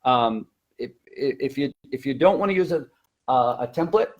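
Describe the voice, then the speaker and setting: A man with a medium-pitched voice leads a teaching webinar in a conversational way. He explains methods like a working teacher, repeating key words for emphasis. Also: expressive, moderate pace. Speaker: language teacher and trainer.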